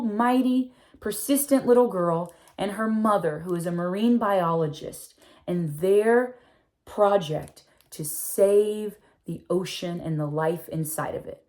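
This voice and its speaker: A woman reads a children's picture book aloud in a slightly low voice. She is expressive and drawn out, often slowing down to stretch her phrases. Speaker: read-aloud narrator.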